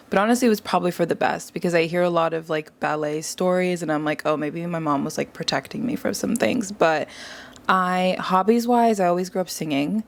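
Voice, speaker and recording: Young woman guest, low and gravelly, animated chatter, casual interview. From New York area. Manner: animated, chatty, quick to agree. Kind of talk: casual conversation.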